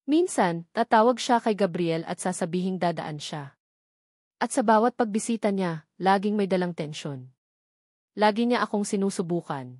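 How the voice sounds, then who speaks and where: Woman telling a first-person audio drama, steady storytelling, dry close mic. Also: mid-range voice, moderate pace, no room sound. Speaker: narrator.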